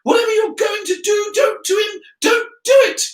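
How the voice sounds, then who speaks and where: A man's voice: extremely high pitch, steady tone, normal speed, recorded from a distance, faint music behind, pitched above the performer's own voice. A man voicing a panicked young schoolgirl in a story, screechy and urgent. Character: frantic, shrieking young schoolgirl pleading. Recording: roomy echo.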